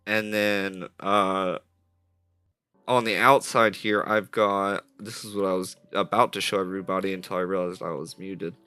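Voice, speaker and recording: Male streamer, low-set but bright, slightly stuffy voice; rambling, upbeat live chatter on a headset mic over soft jazz. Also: clean tone. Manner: rambling, self-correcting, upbeat. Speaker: streamer building a game asset live. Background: soft jazz music playing.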